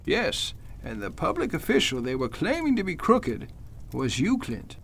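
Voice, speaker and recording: An older judge from an old-fashioned radio play, acted by a man. His voice sits high and husky and swings widely in pitch. He speaks formally and earnestly, as a gentleman of long standing. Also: huge pitch swings, unhurried, full character voice. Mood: earnest, firm. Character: genial but suspicious veteran male judge, gentlemanly. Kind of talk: old-time radio drama acting.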